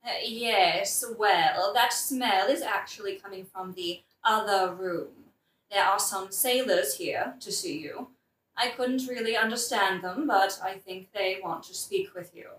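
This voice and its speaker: Roomy and away from the mic, a woman plays a deferential castle servant in an audio drama. She speaks slowly, politely and with hesitation. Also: medium pitch, a bit bright, close to an everyday voice. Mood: hesitant, polite. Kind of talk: satirical audio play acting. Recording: roomy, distant mic, faint noise.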